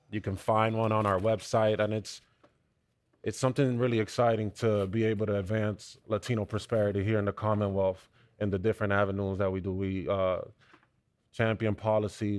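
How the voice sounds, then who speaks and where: A young man from Cuba speaking as a panel guest, earnest and halting, with frequent fillers and restarts. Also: fairly low pitch, little pitch movement, a little mellow, no echo. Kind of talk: panel discussion, conversational.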